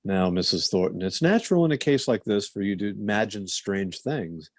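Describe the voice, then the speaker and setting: A man plays a calm family physician in an old radio thriller. He reasons in a measured, condescending way with animated intonation, recorded dry. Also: on the low side, no room sound. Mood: patient, dismissive. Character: calm, patronizing male family doctor. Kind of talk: old-time radio drama acting.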